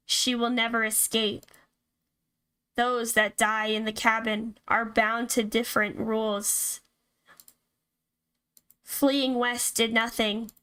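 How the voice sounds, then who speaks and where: A woman voicing ominous, accusing spirits of a horror game in a slow, drawn-out, solemn delivery. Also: fairly high pitch, sharp tone, clear, resonant tone. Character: ominous, accusing spirit chorus haunting a cabin.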